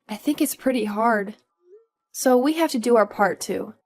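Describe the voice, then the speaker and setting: A woman acting as a young newlywed wife in an audio story drama, with a fairly high voice. She is patient, dutiful and a little weary, talking politely and evenly over music. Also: moderate pace, darkish tone, lightly acted. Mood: tired, accommodating.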